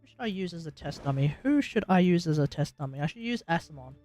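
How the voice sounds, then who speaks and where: A woman's voice: lowish voice, expressive, fast, dark tone, faint music behind. A woman live streaming a game, chatting casually and rambling as she thinks aloud. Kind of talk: casual gameplay livestream chat.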